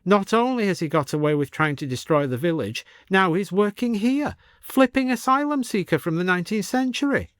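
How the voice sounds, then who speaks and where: A man's voice: lively intonation, a little fast, hardly any pauses, a bit bright, smooth, dry recording. A man voicing an indignant, complaining village woman in an audiobook story. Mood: outraged. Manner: shrill, complaining.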